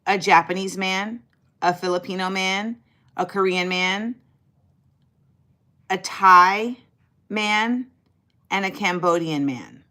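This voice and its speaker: A woman's voice: mid-range voice, takes it slowly, pauses often. A woman in her forties hosting a casual livestream, chatty and sassy, talking straight to viewers. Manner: chatty, sassy, animated, reads and answers viewer comments. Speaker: livestream host.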